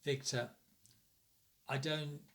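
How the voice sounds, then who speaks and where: An actor playing a worried, reluctant scientist's assistant in a radio play, hesitant but dutiful. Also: male voice, a little high, fairly even intonation, takes it slowly, a bit bright. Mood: nervous, awed. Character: anxious, reluctant male lab assistant to a scientist.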